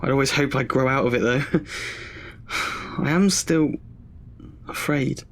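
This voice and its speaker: A man's voice: medium pitch, measured pace, slight background noise, natural voice. An actor playing a nervous, chatty twenty-year-old male student in an audio drama, hesitant and self-deprecating. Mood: embarrassed, anxious.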